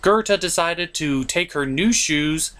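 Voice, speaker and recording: A man's voice: on the high side, slow pace, fairly bright tone. A man narrating a comedic film review in a sarcastic, exasperated manner.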